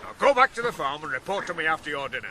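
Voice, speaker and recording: A man's voice: on the high side, sing-song, racing, sharp tone, a little hiss or hum, poor audio. A male actor playing a stern, blunt middle-aged farm boss in a radio drama, scolding as he speaks. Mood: angry, scolding. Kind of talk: 1980s radio drama acting.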